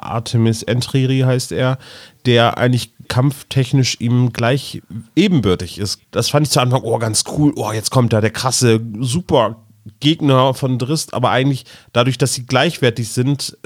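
A man's voice: mid-range voice, no echo, studio quality. A man chatting casually as a podcast host about fantasy stories. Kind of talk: casual fan chat about fantasy audio dramas.

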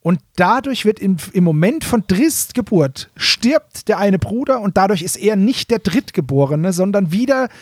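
Male podcast co-host, fairly high voice, dry sound, loose colloquial explainer who corrects himself. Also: expressive, moderate pace, hardly any pauses, breathy edge, no room sound. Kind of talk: casual chat retelling a story.

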